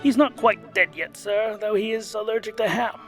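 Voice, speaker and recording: A man's voice: sits high, moderate pace, soft background music, pitched above the performer's own voice, lightly acted. A man playing a deferential, dryly formal, long-suffering butler in a comic fantasy audio drama.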